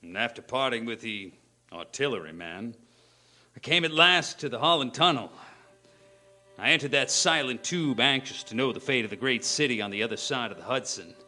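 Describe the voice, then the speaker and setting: Actor as grave male professor, bright tense voice, solemn theatrical radio-play narration, deliberate, music underneath. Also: mid-range voice, animated. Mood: bleak, haunted. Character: grave, haunted male professor recounting his survival.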